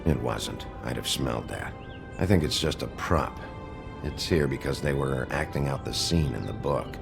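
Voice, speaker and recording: A gruff werewolf detective from a video game, with a gravelly voice sitting extremely low. He speaks tersely and flatly, in a calm investigative tone. Loud music plays behind him. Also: moderate pace. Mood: calm, analytical. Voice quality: very deep, gravelly. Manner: terse, flat investigative delivery. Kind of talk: video game cutscene acting.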